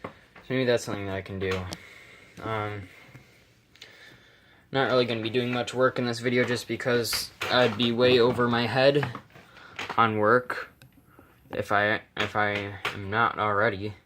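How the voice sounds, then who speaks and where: A man with a fairly low voice talks casually through a hobby walkthrough. He is hesitant and rambles, correcting himself as he goes. The handheld recording carries faint noise. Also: flat delivery, unhurried, long pauses. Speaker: hobbyist vlogger. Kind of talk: casual, unscripted repair walkthrough. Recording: noisy handheld camera recording, clicks.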